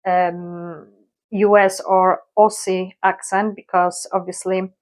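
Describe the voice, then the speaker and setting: Woman with a polish accent, talks slow, lots of ums and pauses, casual vlog intro. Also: mid-range voice, little pitch movement. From Poland. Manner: hesitant, many fillers, slow and thoughtful. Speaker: YouTuber.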